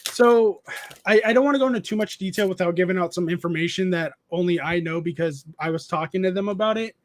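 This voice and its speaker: A man's voice: high voice, quick pace, slight background noise. A man in his thirties hosting a casual live stream, rambling with many restarts and reading chat messages aloud. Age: 30s. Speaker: streamer host.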